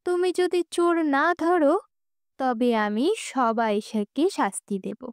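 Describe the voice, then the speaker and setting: A woman's voice: high-pitched, slow, dry recording, clean studio sound, pitched above the performer's own voice. A woman voicing a greedy, hot-tempered king in a storybook tale, commanding and emphatic. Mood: angry, threatening.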